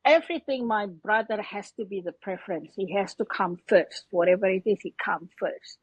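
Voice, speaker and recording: Husky Indonesian-accented woman, slightly muffled. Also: medium pitch, no room sound. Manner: halting, non-native English phrasing. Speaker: podcast guest. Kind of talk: personal story told in conversation.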